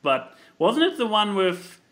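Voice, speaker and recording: A man chatting casually about films as a podcast host, in an animated, rambling way that often stalls and repeats itself mid-thought. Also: high voice, unhurried. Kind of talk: casual film chat.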